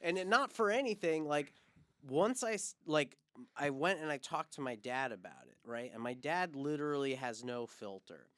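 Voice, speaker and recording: A man's voice: on the high side, normal speed, no echo. A man hosting a podcast who tells anecdotes in a casual, storytelling way. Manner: storytelling, animated when retelling. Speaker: podcast host. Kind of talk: casual chat.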